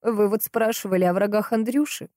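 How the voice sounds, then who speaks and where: A woman's voice: medium pitch, steady tone, mellow, dry recording, studio quality, pitched above the performer's own voice, close to an everyday voice. A woman voicing a hot-tempered, grieving sister in a crime audiobook, emphatic and impatient. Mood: insistent, bitter. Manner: emphatic, impatient, drawn-out stresses.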